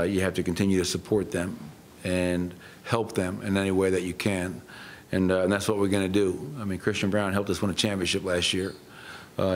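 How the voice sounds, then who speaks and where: Middle-aged male head coach, very low flat voice, measured post-game answers, faint hiss behind. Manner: measured, matter-of-fact, many fillers. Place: press room.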